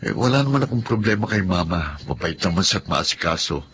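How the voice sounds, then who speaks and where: Aggrieved husband around thirty, low voice, blunt, radio drama acting, faint background noise. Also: male voice, very animated, quick pace. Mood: dejected, confiding. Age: 30s.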